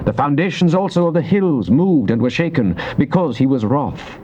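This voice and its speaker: An actor playing a devout, earnest psalmist, reciting scripture in a solemn, measured, declamatory theatrical style. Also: male voice, fairly high pitch, animated, mellow, natural voice. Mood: fervent. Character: devout psalmist, earnest man praying to God. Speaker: dramatized scripture reader. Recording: dull noisy cassette tape transfer.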